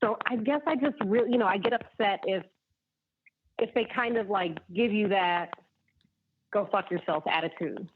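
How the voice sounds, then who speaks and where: A female chef speaking as a podcast guest, easygoing and frank, quick to laugh. Her voice is a little husky. It comes through thin and band-limited, like a phone line. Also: mid-range voice, no echo. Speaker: chef, show finalist guest. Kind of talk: casual podcast interview.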